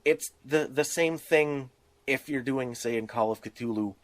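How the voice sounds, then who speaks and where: A man's voice: medium pitch, a little slow, no echo. A man chatting casually about tabletop game design, explaining things with little acted-out examples.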